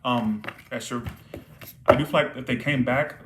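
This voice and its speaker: A man at middle pitch giving a live tarot reading, repeating himself and asking "all right?" as he goes. His voice is starting to wear out from long talking. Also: normal speed, noisy background. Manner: repetitive, checks in with frequent "all right?". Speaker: tarot reader.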